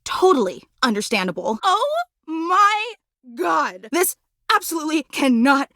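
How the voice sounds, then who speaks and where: A bubbly, devoted superfan woman from a video game. Her voice is high and wildly animated, with a dramatic, slangy cheerleader's gush. The sound is close and dry. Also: unhurried, no room sound, exaggerated voice. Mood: cheering, supportive, then overwhelmed, thrilled. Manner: excitable, dramatic, slangy gushing. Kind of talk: video game character voice acting.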